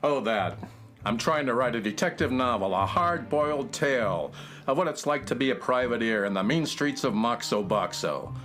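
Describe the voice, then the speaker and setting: A man's voice: medium pitch, animated, normal speed, a bit bright, soft background music, natural voice. A man playing a self-doubting private eye and would-be novelist in a children's radio drama, with a melodramatic mock-noir delivery. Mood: helpful, upbeat. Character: male hard-boiled private-eye spoof, self-doubting aspiring novelist.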